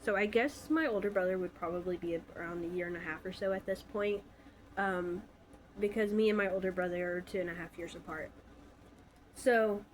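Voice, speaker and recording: A woman's voice: lowish voice, fairly quick, rough recording. A woman in her twenties from Alabama, stuffy from a cold, telling her own story in a casual, hesitant vlog with many fillers. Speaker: vlogger.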